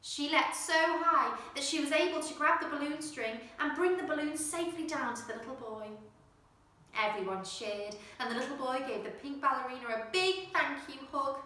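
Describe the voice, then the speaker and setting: A woman reading a children's storybook with expressive narration, putting emphasis on the action words. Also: sits high, moderate pace. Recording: very echoey room, distant microphone.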